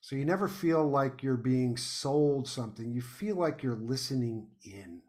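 A man's voice: mid-range voice, a little slow, a little mellow. A man speaking as an enthusiastic podcast guest, effusive and emphatic in casual conversation.

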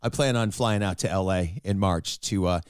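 A man hosting an interview show, chatty and self-deprecating, his voice raspy from a cold. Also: sits low, moderate pace, dry recording, clean studio sound. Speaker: host. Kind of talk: casual interview and narration.

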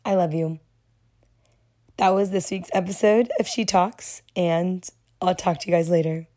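Hoarse young woman chatting on her podcast. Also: lowish voice, steady tone, measured pace, warm tone. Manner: chatty, affectionate, rambling. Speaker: podcast host. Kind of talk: casual solo podcast talk.

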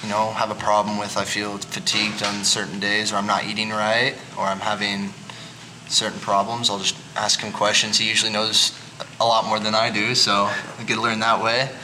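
A man's voice: lowish voice, monotone, fairly quick. A young man chatting casually as a podcast guest in a bike shop, rambling with restarts. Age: young adult. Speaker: guest, collegiate cyclist. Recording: noisy shop background. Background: shop noise, people working on bikes.

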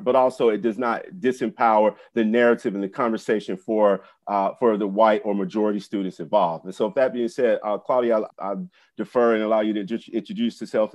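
A man co-hosting an education podcast, talking in a halting way with many fillers and restarts. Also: on the low side, a little mellow. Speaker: podcast co-host.

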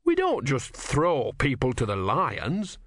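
A man voicing an earnest, slow-witted young novice who questions things anxiously and hesitantly in an audiobook story. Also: mid-range voice, wildly swooping pitch, a bit bright, no room sound, studio quality, pitched above the performer's own voice, exaggerated voice. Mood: appalled, protesting.

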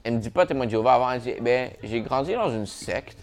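A man's voice: mid-range voice, animated, fast. A young man hosting a casual podcast chat, laid-back and dropping English slang into his talk. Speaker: podcast host. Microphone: shared podcast mic.

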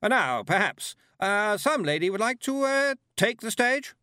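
A man voicing a flustered, forcedly bright woman hosting a village event in an audiobook, trilling and hesitant. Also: high-pitched, wildly swooping pitch, brisk, no room sound, studio quality, voice pushed up, exaggerated voice. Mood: strained brightness.

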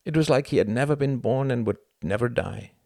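A man's voice: mid-range voice, a little fast, creaky, mellow, no echo, clean studio sound, voice pushed up, natural voice. A man voicing an earnest, brooding young German man with a wistful, heartfelt manner. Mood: bitter.

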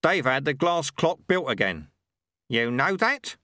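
A man's voice: a little high, very animated, unhurried, sharp tone, no room sound, clean studio sound, pitched above the performer's own voice, exaggerated voice. A man putting on a boastful old milkman. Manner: chatty, boastful, sour at times. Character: boastful ex-horseman milkman, older man, touchy.

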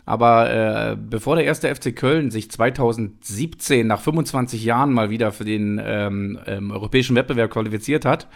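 A man's voice: medium pitch, brisk, vocal fry, hardly any pauses. Guy hosting a chill podcast, chatty, lots of hesitating, rambles a bit. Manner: chatty, many fillers and restarts. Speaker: podcast host. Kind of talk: casual chat.

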